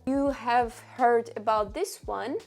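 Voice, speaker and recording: A woman who is not a native English speaker, chatting casually to camera about books in halting, friendly phrasing with restarts. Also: fairly high pitch, creaky, darkish tone, soft background music. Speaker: book vlogger.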